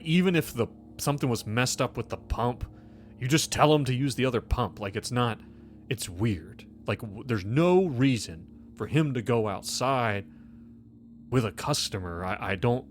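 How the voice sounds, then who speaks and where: Man playing a halting, distressed gas station manager. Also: mid-range voice, expressive, soft background music, natural voice. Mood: distressed, baffled. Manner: halting, repeats himself.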